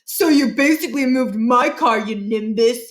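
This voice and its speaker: A woman's voice: fairly high pitch, normal speed, lightly acted. A woman voicing a pompous, threatening schoolboy bully in a children's book read-aloud. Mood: menacing, boastful. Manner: menacing, self-important, indignant when accused.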